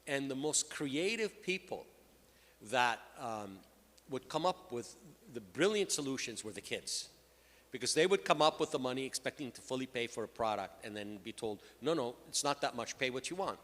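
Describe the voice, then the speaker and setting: A man's voice: medium pitch, lively intonation, normal speed, fairly bright tone. A middle-aged man from Lebanon speaking as a panelist, telling his own story at a conference. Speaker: panelist, payments executive. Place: large conference hall. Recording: reverberant hall, PA sound.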